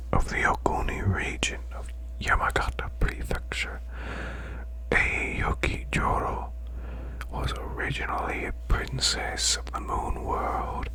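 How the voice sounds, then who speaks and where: A man voicing a demon boss in a breathy, growling whisper, reading folklore slowly as soothing bedtime ASMR. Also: rumbling low, exaggerated voice. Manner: slow, hushed, deliberate recitation. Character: male demon butler's boss, growling softly, soothing storyteller.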